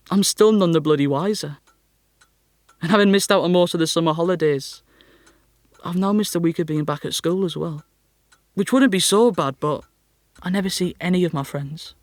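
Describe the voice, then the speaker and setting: An actor playing a sickly, self-pitying teenage schoolboy with a North East English accent in an audio drama monologue, glum and sardonic. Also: male voice, on the high side, no echo, natural voice. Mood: glum, aggrieved. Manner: glum, complaining, melodramatic.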